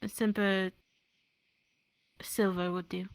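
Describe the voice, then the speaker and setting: A woman's voice: medium pitch, level tone, takes it slowly, no echo. A woman roleplaying a town medic in improvised dialogue, speaking in a hesitant, matter-of-fact way. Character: town medic tending a wounded hunter.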